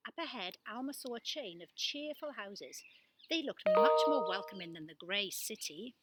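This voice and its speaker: A woman reading a children's storybook aloud as a storyteller, with expressive, dramatic delivery. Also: medium pitch, moderate pace.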